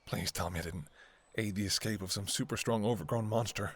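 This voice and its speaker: A man's voice: fairly low pitch, fairly quick, slightly rough. A man playing a devoted, anxious doctor talking to a lover in an intimate ASMR audio roleplay, with a hesitant, emotionally raw delivery. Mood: uneasy, hesitant.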